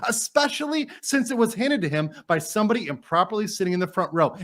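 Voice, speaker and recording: Man playing a high-pitched, indignant trial lawyer. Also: fairly bright tone, full character voice. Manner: formal, emphatic, argumentative. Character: indignant, courteous male trial lawyer addressing a judge. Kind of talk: dramatic reading of a court transcript.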